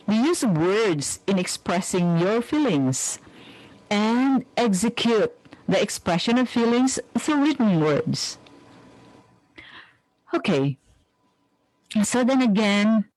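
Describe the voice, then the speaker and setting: A woman with a Filipino accent presenting a scripted lesson in a measured, instructive way. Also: lowish voice, a little mellow, dry recording, rough recording. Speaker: mental health lecturer.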